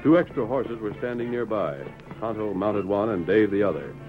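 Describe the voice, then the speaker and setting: A man's voice: on the low side, normal speed, faint music behind. A man reading dramatic scripted narration for an old-time radio western. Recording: muffled vintage radio recording.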